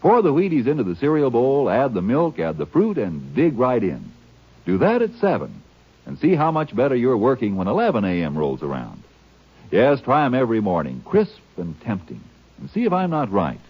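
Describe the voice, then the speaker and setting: Male American announcer, vintage radio ad, hugely animated pitch, slightly husky, persuasive, muffled recording. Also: moderate pace. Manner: emphatic, persuasive. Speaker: commercial announcer. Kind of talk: 1950s radio advertisement read.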